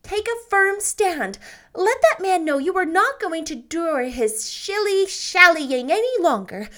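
A woman's voice: very high voice, expressive, a little slow, fairly bright tone, pitched above the performer's own voice, heavily acted. A woman voicing a spirited young woman who pleads and urges emphatically in a storybook reading. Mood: urging.

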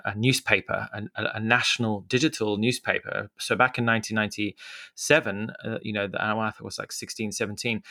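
Low-voiced Zimbabwean man, reflective. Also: bright, forceful tone, no room sound, clean studio sound. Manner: reflective, rambling with many fillers and restarts. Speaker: podcast guest, media co-founder. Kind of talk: casual conversational interview.

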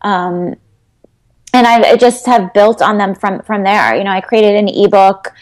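Woman guest, mid-pitched, earnest and hesitant, coaching talk. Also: unhurried, darkish tone. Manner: earnest, rambling, many ums and restarts. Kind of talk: casual interview answers.

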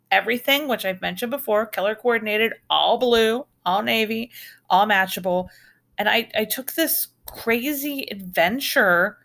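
A woman's voice: fairly low pitch, fairly bright tone. A middle-aged American woman hosting a podcast, telling travel stories in a chatty, rambling way with restarts and asides. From United States. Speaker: podcast host. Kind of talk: casual storytelling podcast.

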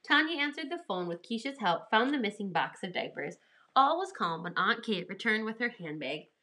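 A woman with a bright, forward voice reads a children's story aloud. She narrates expressively, as a storyteller does, at a medium pitch. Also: very animated, moderate pace.

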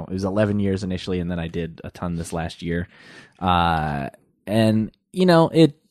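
Nasal-voiced man, halting and hesitant. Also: deep voice, darkish tone, dry recording. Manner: hesitant, halting with many restarts. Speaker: podcast guest. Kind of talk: casual chat.

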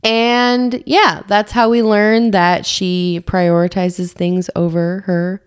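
A woman's voice: mid-range voice, little pitch movement, slow, clear, resonant tone. A young woman co-hosting a casual podcast, playfully recapping a movie plot. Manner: playful storyteller, recaps plots. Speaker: podcast co-host. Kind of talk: casual chat.

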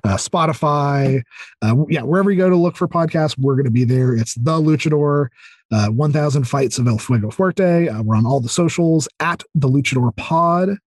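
Halting, enthusiastic man, very dry sound. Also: on the high side, a little mellow, no room sound. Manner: rambling, halting, repeats words and starts over. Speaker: podcast guest, audio drama creator. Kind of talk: casual interview chat.

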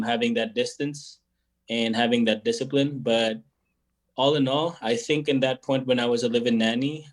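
Reflective man, halting speech. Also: medium pitch. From Philippines. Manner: reflective, hesitant, many fillers and restarts. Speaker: guest musician. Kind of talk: casual interview answers.

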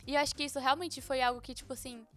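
A woman's voice: high-pitched, fairly even intonation, rapid-fire, a bit bright, faint music behind. A young Brazilian woman with a Brazilian Portuguese accent, chatting casually with slang and frequent laughs. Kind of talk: casual chat.

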